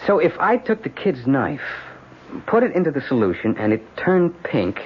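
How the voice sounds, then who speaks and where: A man's voice: a little high, very animated, moderate pace. An American man playing a blunt, pressing defense lawyer in an old-time radio drama, talking in colloquial, urgent phrases. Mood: curious, impressed. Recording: dull, noisy vintage radio recording.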